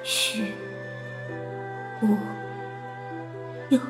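A woman playing a melancholy young bride torn over love in a Chinese costume audio drama. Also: extremely slow. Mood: sad, resigned. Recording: echoey voice under music.

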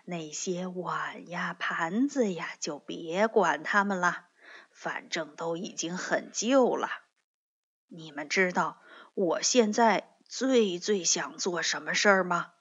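Woman as a gentle, slow old granny bear. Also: mid-range voice, expressive, dull audio. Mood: gentle, fond. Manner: gentle, slow, soft-spoken. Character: kindly old grandmother bear, gentle and forgiving. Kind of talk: children's storybook character voice.

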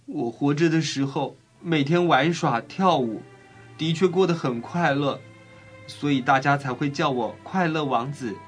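A man playing a gentle, sorrowful prince statue, speaking earnestly and mournfully in a children's audio storybook. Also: on the high side, a little slow, slightly rough, faint music behind.

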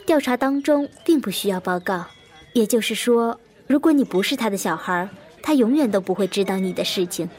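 An actress playing a composed, probing woman investigator in an audio drama, measured and introspective as she recalls a fateful case. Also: mid-range voice, lively intonation, a little mellow, lightly acted. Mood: calm, firm. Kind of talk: audio drama narration and dialogue. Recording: echoey long reverb, music behind.

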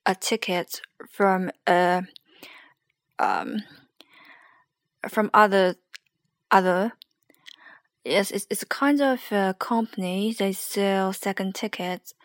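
A young woman with a Chinese accent, rambling hesitantly and softly in a late-night solo podcast. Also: mid-range voice, no room sound. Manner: hesitant, rambling, soft and sleepy. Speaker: podcast host.

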